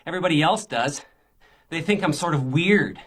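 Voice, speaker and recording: A man's voice: a little high, moderate pace, fairly bright tone, slight background noise, lightly acted. An actor as taunting, menacing male stalker. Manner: taunting, insinuating, mock-polite. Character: menacing, self-pitying male stalker, a spurned oddball. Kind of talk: radio crime drama acting.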